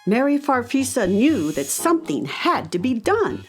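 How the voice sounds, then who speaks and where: A woman narrating a scripted children's story with expressive, deliberate phrasing. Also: fairly high pitch. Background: music.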